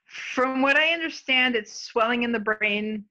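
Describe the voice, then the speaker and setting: A woman being interviewed at home in a casual chat. She answers slowly and hesitantly, with many fillers. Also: fairly high pitch, bright, forceful tone, dull audio.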